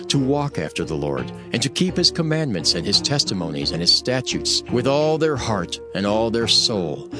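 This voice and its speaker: A man narrating scripture for a dramatized audio production in a solemn, measured storytelling manner. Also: medium pitch.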